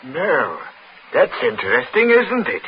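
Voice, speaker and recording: A man playing a polite, doubting police constable in a radio thriller, understated and deliberate. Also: high voice, wildly swooping pitch, vocal fry, full character voice. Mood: politely probing. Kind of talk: 1940s radio acting. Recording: dull, hissy 1940s radio recording.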